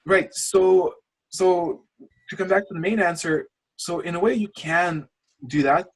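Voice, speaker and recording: Lithuanian man, husky voice, rambling coaching chat, video call. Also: high-pitched, unhurried, poor audio. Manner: rambling, restarts, passionate asides. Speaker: channel coach. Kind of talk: casual screen-share coaching call.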